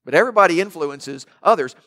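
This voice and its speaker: A man preaching from the pulpit, his loud voice sitting a little high for a man. He presses his points with rhetorical questions and stacked lists, rising and falling as he builds. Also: expressive, quick pace. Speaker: pastor. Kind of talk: sermon.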